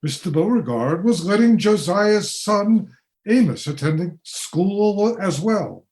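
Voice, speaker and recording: Man acting a slow, wistful grieving father. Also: sits high, expressive, a little mellow, full character voice. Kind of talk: radio play acting.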